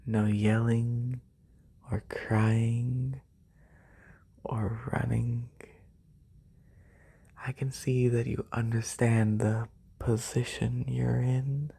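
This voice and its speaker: A performer plays a wealthy, haughty lamia noble in a soft-spoken ASMR roleplay. The voice is very breathy and often whispery, with a creaky edge. Every phrase comes extremely slowly and condescendingly, close to a binaural microphone. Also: mid-range voice, level tone, heavily acted. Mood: condescending approval.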